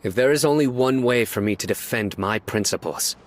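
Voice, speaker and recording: An actor playing a stern, terse young judge in a video game, speaking in short, clipped lines. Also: male voice, mid-range voice, fairly quick, lightly acted. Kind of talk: game cutscene voice acting.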